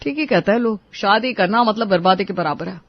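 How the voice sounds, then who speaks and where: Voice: mid-range voice, a little fast, no room sound, close to an everyday voice. A performer plays a sulky, hot-tempered young husband in a storybook drama, grumbling and snapping at his family. The sound is dry and close, and the recording is muffled. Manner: sulky, irritable.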